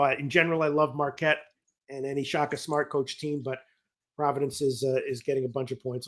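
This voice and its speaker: A man hosting a sports betting talk show, rambling and correcting himself as he reads viewer comments, his voice going hoarse. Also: on the high side, bright, forceful tone. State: losing his voice. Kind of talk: casual betting talk on a livestream.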